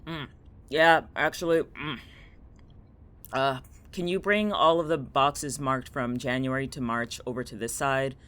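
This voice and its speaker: An actor playing a weary, dry, bossy teenage girl in an audio drama, speaking in a curt deadpan. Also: mid-range voice, measured pace, smooth, lightly acted. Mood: matter-of-fact. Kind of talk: naturalistic audio drama acting with monologue.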